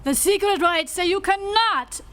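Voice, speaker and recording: An actress playing a solemn, declamatory high priestess in a live old-time radio play. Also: female voice, measured pace, fairly bright tone. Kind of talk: 1930s radio serial acting.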